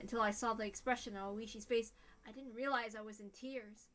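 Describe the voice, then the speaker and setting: A woman's voice: on the high side, steady tone, brisk, a bit bright. A woman reading a story aloud as a dramatic first-person narrator, in a mournful, intense manner. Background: music.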